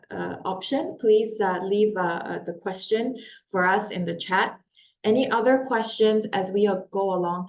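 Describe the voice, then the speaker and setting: A woman's voice: medium pitch, darkish tone, slight background noise. A woman in her forties, born in Korea, hosting a live webinar with an upbeat, welcoming manner and frequent fillers like "um" and "uh". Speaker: webinar host and company founder. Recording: echoey room.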